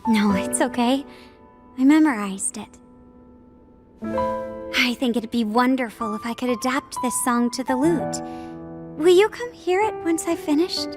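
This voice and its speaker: A woman playing a gentle, earnest young princess in a game cutscene, speaking in a measured, formal and sincere way. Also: high-pitched, lively intonation, music in the background. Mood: tender, wistful. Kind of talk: dramatic video game cutscene acting.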